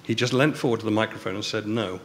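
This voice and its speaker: A man arguing forcefully on a debate panel, driving points home by repeating them. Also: on the low side, fast talker, a little hiss or hum. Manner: forceful, emphatic repetition. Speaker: panelist, restaurateur.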